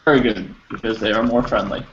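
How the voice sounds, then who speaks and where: A man teaching an English class over a video call, patient and encouraging, explaining slowly with examples. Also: fairly low pitch, fairly even intonation. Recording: slightly dull audio with faint noise.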